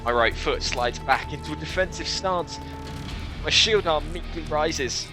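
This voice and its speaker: A man reading a game's story aloud in the first person, with an expressive storytelling delivery. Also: a little high, a little slow, music in the background. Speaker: video game let's player reading a visual novel aloud. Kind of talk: dramatic first-person narration of game text with casual asides.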